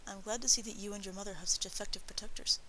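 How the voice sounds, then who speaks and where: A woman reads the part of a wandering samurai man in an audiobook. He is wry and polite, speaking with dry understatement in a bright tone over faint hiss. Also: medium pitch, steady tone, very fast, lightly acted, poor audio. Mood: dry murmur. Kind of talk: audiobook character voice.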